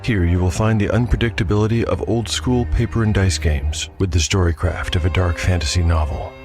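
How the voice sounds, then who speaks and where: Male narrator-GM, low voice, measured grave delivery, music underneath. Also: steady tone. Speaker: host and solo game master. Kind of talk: dramatic fantasy narration with dice commentary.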